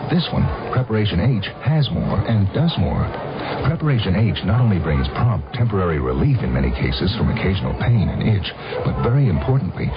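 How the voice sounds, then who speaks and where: A man reads a radio ad script in a deep voice with wide, lively inflection. Music plays clearly behind the muffled old sound. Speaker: commercial spokesman.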